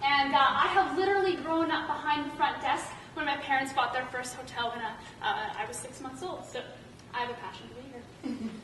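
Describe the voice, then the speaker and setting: A woman's voice: sits high, fairly even intonation, fairly quick, fairly bright tone. A young woman from British Columbia with a Canadian accent, presenting informally as a student. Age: young adult. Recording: echoey room, distant mic, faint noise.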